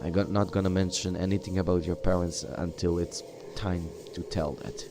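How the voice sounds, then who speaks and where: Low-voiced, halting male gamer. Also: little pitch movement. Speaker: gamer role-playing a game character. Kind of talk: improvised in-character game dialogue. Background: game music.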